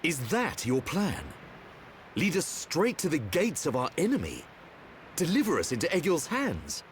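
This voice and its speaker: A man's voice: on the high side, huge pitch swings, unhurried, slight background noise. A man playing a suspicious young warrior in a video game, incredulous and confrontational. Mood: accusing. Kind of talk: scripted video game cutscene acting.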